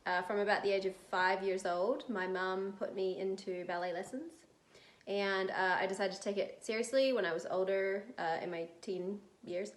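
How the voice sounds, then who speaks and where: A woman's voice: medium pitch, steady tone, moderate pace. A woman with a New Zealand accent, chatting casually as an interview guest in a roomy recording. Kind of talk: casual chat.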